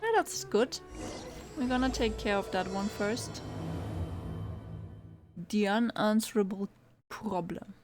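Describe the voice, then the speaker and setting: A woman's voice: mid-range voice, slow, pauses often, darkish tone, faint music behind. A woman casually commenting over a video game, chatting along with the characters. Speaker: gaming commentator. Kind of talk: casual let's play commentary.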